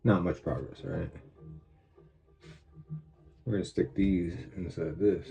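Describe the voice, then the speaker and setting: A man's voice: deep voice, fairly even intonation, takes it slowly, gravelly, mellow, muffled recording. A man with a stuffy voice from a cold, talking casually and muttering to himself as he builds a small model truck. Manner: mutters to himself while working. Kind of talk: casual build vlog talk.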